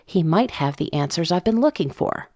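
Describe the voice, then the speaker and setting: A woman's voice: lowish voice, animated, moderate pace, warm tone, no room sound, studio quality. A woman voicing an earnest, tender middle-aged father in an audio drama, reflective and fatherly in manner. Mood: hopeful, warm.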